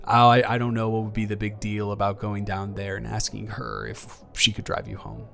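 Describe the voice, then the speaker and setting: A man improvising a caring, flustered young ex-boyfriend in an intimate audio roleplay, speaking casually with stammers and halting phrases. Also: lowish voice, level tone, gravelly, pushed and bright, soft background music. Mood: gently teasing, caring.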